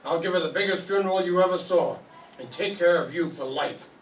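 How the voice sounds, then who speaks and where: An older man playing a cold, smooth-talking gangster in a radio drama, coaxing and menacing. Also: high voice, a little fast, close to an everyday voice. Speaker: amateur radio drama performer. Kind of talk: live reading of a 1940s radio drama. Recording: echoey room, distant mic, faint noise.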